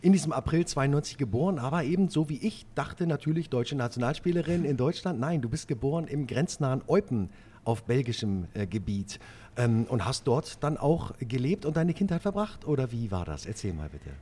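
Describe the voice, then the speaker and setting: A man's voice: mid-range voice, expressive, fairly quick, mellow, breathy edge. A man hosting a club podcast interview, enthusiastic, often stumbling over and repeating words. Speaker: podcast host.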